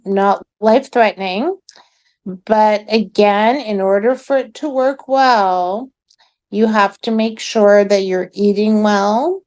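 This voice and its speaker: A middle-aged woman, a guest in a casual chat, with a loud voice and a friendly, drawn-out way of talking. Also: fairly high pitch.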